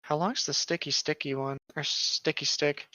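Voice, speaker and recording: A man's voice: a little high, fairly even intonation, moderate pace, bright, forceful tone, no room sound. A young man in his twenties co-hosting a casual horror-story reading, chatting and reading aloud on a lo-fi microphone. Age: 20s. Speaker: co-host reading internet horror stories.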